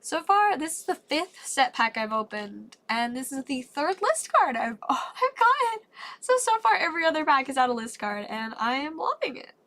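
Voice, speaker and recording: Upbeat female streamer, lively pitch swings, slightly congested voice. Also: normal speed. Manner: chatty and upbeat, rambling asides. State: congested, voice not fully recovered. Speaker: streamer opening trading cards. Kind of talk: casual live-stream chat.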